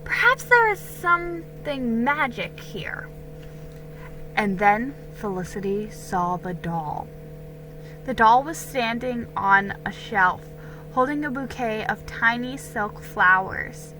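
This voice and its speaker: A woman with a high, very bright voice narrates a children's historical story. She reads dramatically and expressively, taking her time over the sentences. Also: low-quality recording. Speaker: storybook reader.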